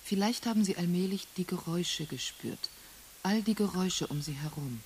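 An actress playing a careful questioner in a radio play, prompting in a measured, suggestive way. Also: female voice, lowish voice, close to an everyday voice. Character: careful female questioner guiding a man's recollection. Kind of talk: radio play acting, dialogue. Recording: hissy early 1980s radio play recording.

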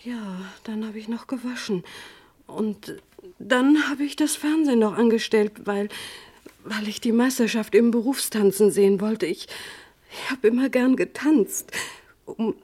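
A nervous wife questioned in a radio crime play. Her voice is soft-edged, dark and husky. She answers haltingly, subdued and evasive. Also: fairly high pitch. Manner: halting, evasive, repeating herself. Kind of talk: German radio crime drama acting.

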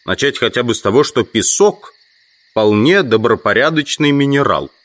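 An actor playing an educated, proud and skeptical entomologist in an audio drama, reasoning in a pedantic way. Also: male voice, medium pitch, lively intonation, normal speed, a bit bright, no room sound, natural voice. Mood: scornful, lecturing. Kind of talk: Russian audio drama acting.

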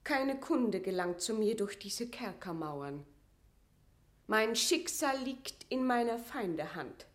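A woman's voice: mid-range voice, animated, slow. An actress playing a proud, aggrieved imprisoned queen, dignified and imperious, with formal declamatory diction in a classical German verse radio drama.

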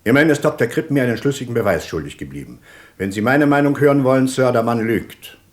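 An actor playing a courteous but persistent, suspicious British police inspector in a radio play, speaking formally and with polite insistence. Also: male voice, mid-range voice, expressive, quick pace, natural voice. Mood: firm, suspicious. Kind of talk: German radio play dialogue.

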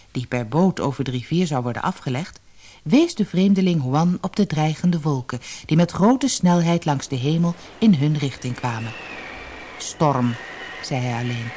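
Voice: mid-range voice, warm tone, slightly rough. A narrator reading a storybook expressively and dramatically for an audiobook, on an old cassette recording.

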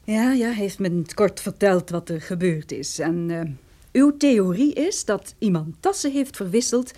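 An actress playing a brisk, stern young female lawyer in a radio drama, speaking in a precise, businesslike way. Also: medium pitch, expressive, mellow. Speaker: supporting actress. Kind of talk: radio detective drama acting.

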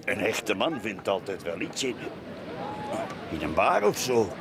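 A man's voice: fairly low pitch, big pitch swings, unhurried, a crowd in the background. An actor with a Flemish accent playing a bitter, boastful, drunken middle-aged man in a radio play. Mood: grumbling, boozy. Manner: rambling, repetitive, cackling, tipsy. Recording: radio play with clear outdoor background noise.

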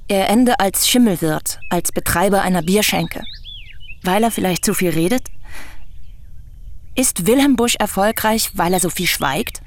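A woman's voice: mid-range voice, lightly acted. Radio drama: bold, probing, talkative woman played by an actress, mid-range voice, slight noise behind. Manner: articulate, insistent, teasing. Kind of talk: German radio play acting.

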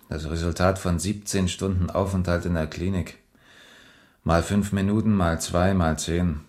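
An actor playing a calm, methodical police major in a radio drama, measured and matter-of-fact with a dry weariness. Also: male voice, deep voice. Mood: weary, sober. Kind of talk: 1980s German radio drama acting.

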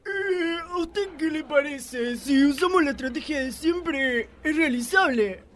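A man's voice: extremely high pitch, expressive, unhurried, fairly bright tone, soft background music, exaggerated voice. A man playing a dim, hesitant small-town police chief in a radio play, unsure and naive. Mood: naive, eager. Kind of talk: live radio play acting.

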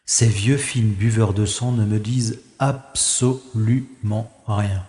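A man's voice: on the low side, steady tone, slow, mellow, echoey room. A man playing a grumbling, world-weary narrator in a sci-fi audio drama, complaining in dry, wordy sentences.

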